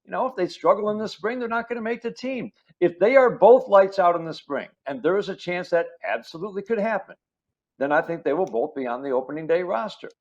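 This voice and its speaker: A man's voice: high-pitched, a little fast. A man talking sports casually and emphatically, as in an interview. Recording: slightly dull, lo-fi sound.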